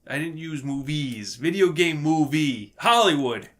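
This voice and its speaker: A man's voice: on the high side, animated, measured pace, a crowd in the background. A man bantering casually as a panelist on a group podcast.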